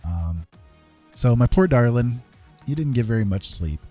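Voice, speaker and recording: A man chatting casually as he hosts an art livestream, rambling along in a self-deprecating, folksy way with a husky voice. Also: mid-range voice, lively intonation, unhurried, dark tone, faint music behind. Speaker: livestream host and digital artist. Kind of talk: casual livestream chat while drawing.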